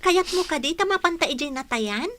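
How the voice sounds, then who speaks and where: Woman playing a nosy but kind village girl, high bright voice, frank questions, radio drama. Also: lively intonation, a little fast. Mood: inquisitive. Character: sympathetic, inquisitive young village girl, a friend. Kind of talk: Ilocano radio drama acting.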